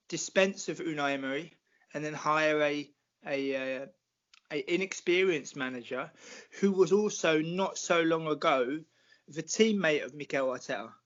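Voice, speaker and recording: A British man in his forties, a podcast guest talking football at length in a measured, explanatory way with many fillers. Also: on the high side, fairly bright tone, highs cut off. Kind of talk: casual podcast chat.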